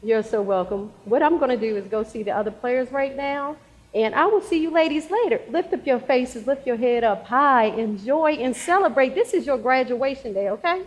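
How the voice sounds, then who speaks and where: A woman's voice: high-pitched, lively intonation, normal speed. A woman acting as a proud, encouraging school sports coach in a live stage play. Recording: reverberant hall, live stage recording.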